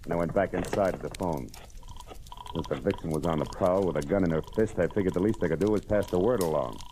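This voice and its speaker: A man's voice: low voice, quick pace, natural voice. A man acting a tough, wry American private detective in a 1940s radio drama, wisecracking and world-weary. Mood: wry, narrating. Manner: hard-boiled, wisecracking, slangy. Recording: old radio recording with background noise.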